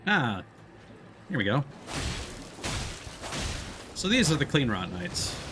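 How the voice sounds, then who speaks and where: A man's voice: medium pitch, sing-song, slow pace, noisy background. A man giving casual let's play commentary as a streamer, explaining and thinking aloud as he plays. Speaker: streamer playing a video game.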